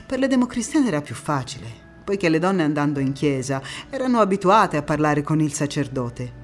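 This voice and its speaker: An actress playing a passionate, resolute woman activist in an emphatic theatrical monologue with dramatic pauses. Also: deep voice, sing-song, soft background music. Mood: indignant, determined. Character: passionate, resolute woman activist recounting postwar struggle for women's vote.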